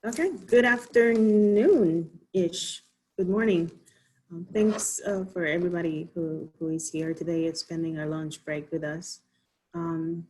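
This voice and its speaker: A woman's voice: fairly low pitch, darkish tone, slightly rough, poor audio. A woman from the Dominican Republic, a physician, giving an informal online presentation with many ums and restarts. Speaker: physician giving a health talk.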